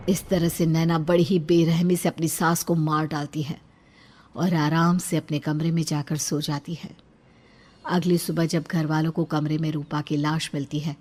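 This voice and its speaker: A woman's voice: low voice, darkish tone, slightly rough. A woman reading scripted horror story narration as the storyteller.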